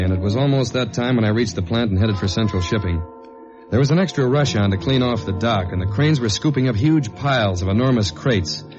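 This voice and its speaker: A man acting as a steady, dogged government investigator and narrator in a mid-century radio detective drama. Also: mid-range voice, lightly acted. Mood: measured narration. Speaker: lead actor and narrator of a radio detective serial. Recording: dull old radio recording with faint music.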